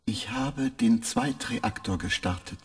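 An actor playing a weary male spaceship computer that answers in halting, clipped, literal sentences. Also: fairly low pitch, huge pitch swings, vocal fry, exaggerated voice. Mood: flat.

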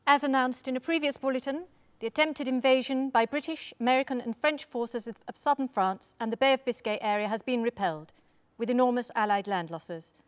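A woman's voice: a little high, a little fast, natural voice. A woman playing a stern, formal 1940s radio news announcer for an occupying regime, in a live stage reading. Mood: stern, official.

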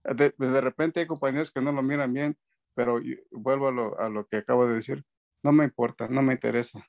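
Gravelly-voiced man on a video call. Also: mid-range voice, a little fast, highs cut off. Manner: relaxed, rambling, frequent fillers and restarts. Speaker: band keyboardist, guest. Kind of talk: casual video-call interview. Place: indoor room at home. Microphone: video call webcam.

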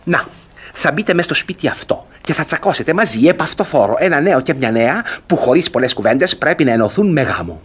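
An excitable, high-pitched mocking brother. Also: male voice, breathy edge. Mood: urgent. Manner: sarcastic, exclamatory, emphatic. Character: agitated, mocking, self-satisfied brother in classic French farce. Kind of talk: theatrical Greek radio comedy acting.

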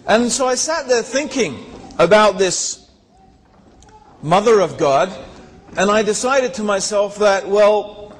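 A man's voice: high-pitched, expressive, slow pace, pauses often. Man speaking in a reverberant hall. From born in Tanzania, raised in England. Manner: storytelling, rhetorical asides, many fillers. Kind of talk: personal story talk to an audience. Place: large hall with seated audience. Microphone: handheld microphone.

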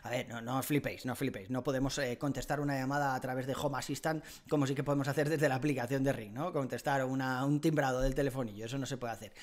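A man's voice: medium pitch, steady tone, fast, few pauses. A middle-aged man with a Castilian Spanish accent explaining things casually and colloquially, close to a desk microphone. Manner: conversational, colloquial, with frequent tag questions. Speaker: tech vlogger. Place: furnished living room at home. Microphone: desk microphone close in front.

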